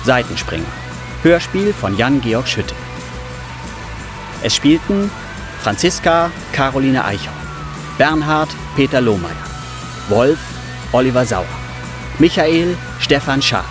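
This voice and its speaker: A male announcer reads scripted radio drama credits. He speaks slowly at a medium pitch, over clearly audible music. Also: very animated.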